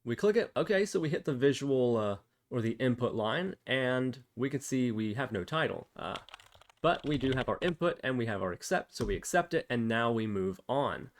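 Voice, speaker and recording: A man with a medium-pitched voice narrates a software tutorial in a casual, explanatory way. His intonation moves up and down a lot as he thinks aloud and works through each step. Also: expressive, normal speed. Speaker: programming tutorial presenter.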